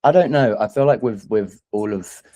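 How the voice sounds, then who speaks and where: A man's voice: lowish voice, normal speed, mellow. A man who is a singer-songwriter guest in a casual interview, speaking in a hesitant, thoughtful, self-deprecating way.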